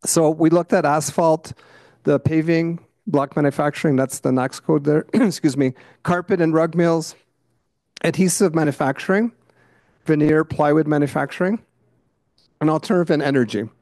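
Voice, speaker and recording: A man giving a conference talk over slides, losing his voice, clearing his throat often and tagging points with "right?". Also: fairly high pitch, moderate pace, darkish tone, dry recording, studio quality. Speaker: conference presenter. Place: conference meeting room.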